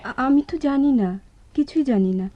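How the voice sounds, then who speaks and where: A woman playing a caring, gently firm urban wife in a radio drama. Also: high voice, expressive, brisk, lo-fi sound. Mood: hesitant. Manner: soothing, firm. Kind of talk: Bengali radio drama acting.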